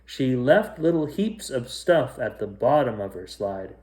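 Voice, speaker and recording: A man's voice: medium pitch, very animated, a little slow, darkish tone. A man narrating a children's storybook as a bedtime reader, telling it phrase by phrase.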